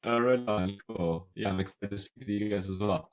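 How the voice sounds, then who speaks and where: A man from California, with an American accent tinged with Australian, chatting as a guest singer-songwriter in a relaxed, hesitant way full of fillers. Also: fairly low pitch, fairly quick, muffled recording. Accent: American, slightly Australian. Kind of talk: casual interview chat. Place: his home recording studio.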